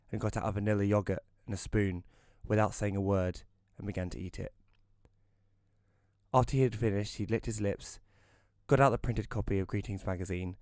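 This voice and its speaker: A man's voice: on the low side, a little mellow, no room sound, clean studio sound, lightly acted. A man playing a self-doubting young magazine columnist who narrates an audio drama in a wry, hesitant manner. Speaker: narrator and lead character. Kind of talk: first-person audio drama narration and dialogue.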